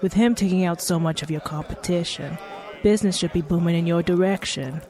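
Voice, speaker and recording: A man's voice: on the high side, brisk, a little mellow, close to an everyday voice. A man playing a wry, blunt guest at a crime boss's table in an audio drama, frank and needling. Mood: wry, challenging. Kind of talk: scripted audio drama dialogue.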